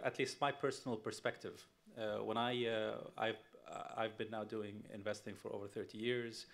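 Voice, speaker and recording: A middle-aged man from Tunisia speaks on a conference panel at a mid-range pitch. He is emphatic and often stops to throw rhetorical questions at the audience. His delivery is loose, with plenty of "uh" and "um" fillers. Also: gravelly, fairly bright tone. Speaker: panelist, investment firm CEO.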